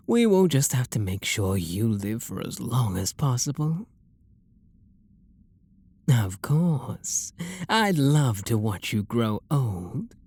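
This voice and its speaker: Man acting a breathy, slow British vampire lord. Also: medium pitch, no room sound, full character voice. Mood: possessive devotion. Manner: soft, coaxing, flirtatious, unhurried. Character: wealthy refined male vampire lord, possessive, tender, seductive. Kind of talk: intimate ASMR roleplay.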